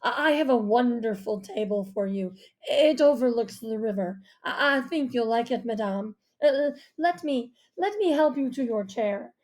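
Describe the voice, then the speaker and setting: A woman's voice: medium pitch, steady tone, mellow, close to an everyday voice. A stiff, affected maître d' acted by a woman narrator, snooty and oily. He draws out his words with exaggerated formality, in a storybook style. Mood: flustered, fawning. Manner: stiff, drawn-out, stammering when flustered. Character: snooty, fawning male restaurant maître d'. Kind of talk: audiobook character voice.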